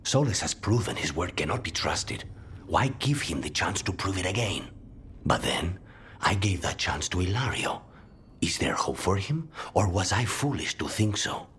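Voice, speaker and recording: An actor playing a grim, honour-bound assassin who shares himself with a demon, speaking in a hushed, breathy, measured way for a video game. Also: male voice, low voice, very animated, slight background noise. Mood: grave. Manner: measured, solemn, deliberate. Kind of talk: dramatic video game acting.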